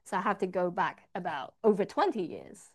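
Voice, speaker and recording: A woman's voice: fairly low pitch, expressive, a little fast, breathy edge. A woman interpreting a church testimony, rendering the speaker's words line by line in the first person. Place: church service.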